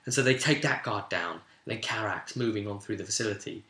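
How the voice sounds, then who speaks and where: A man hosting a role-playing vidcast as game master, casually recapping a tabletop session in an animated storytelling way with sudden emphatic swells. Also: fairly low pitch, a little fast. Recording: roomy, echoing room sound.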